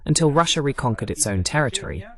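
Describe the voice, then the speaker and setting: A man's voice: mid-range voice, animated, fast talker. Male political host, very varied intonation, argumentative and emphatic in live commentary. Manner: argumentative, rhetorical questions, emphatic repetition.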